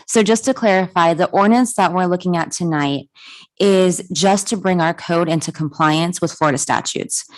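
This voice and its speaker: A soft-spoken woman serving as city attorney, methodically explaining an ordinance at a commission meeting. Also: fairly low pitch, moderate pace. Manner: clarifying, methodical.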